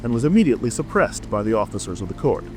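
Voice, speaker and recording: A man's voice: mid-range voice, sing-song, racing, rough recording. A man reading a scripted storybook narration as a dramatic audiobook narrator. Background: music.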